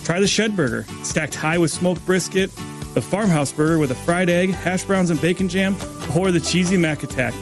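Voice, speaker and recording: A man with a fairly high voice speaks as a chef in a scripted radio spot. He addresses listeners directly over a clear music bed. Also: moderate pace. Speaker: chef advertising a restaurant. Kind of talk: scripted first-person radio ad.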